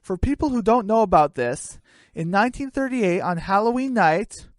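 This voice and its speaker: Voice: medium pitch, expressive, normal speed, no room sound. A narrator reading a homemade radio play as scripted storytelling.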